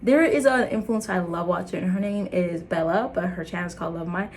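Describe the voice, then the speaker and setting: A young woman with an American accent, born in Liberia and raised in the States, talking to camera in an earnest, animated motivational vlog. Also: fairly quick, a little hiss or hum. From Liberia, raised in the United States. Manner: earnest and animated, laughing, jumping into high excited bits. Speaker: content creator. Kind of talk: casual motivational vlog talk.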